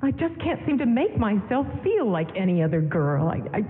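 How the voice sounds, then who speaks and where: A woman's voice: very animated, brisk, narrow, tinny audio, close to an everyday voice. An actress playing a sentimental, self-effacing young woman in 1940s radio drama style. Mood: troubled, wistful. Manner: hesitant, self-effacing, breaking off mid-thought. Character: sentimental young woman named Mary, troubled but tender. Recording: boomy hall, band-limited AM radio aircheck, noise.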